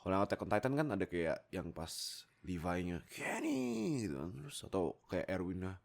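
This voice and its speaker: A young man, rambling casually and excitedly about himself into a close microphone. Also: sits low, moderate pace, gravelly. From Indonesia. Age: young adult. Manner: rambling, animated, exclamatory, often breaking into giggles. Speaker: YouTube creator and amateur voice actor. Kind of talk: casual, unscripted storytelling about oneself. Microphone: close USB microphone.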